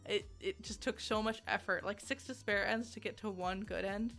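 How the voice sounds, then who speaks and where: A woman's voice: mid-range voice, steady tone, normal speed, gravelly, fairly bright tone, soft background music. A woman giving a casual, conversational video game review in a giggly, self-deprecating manner.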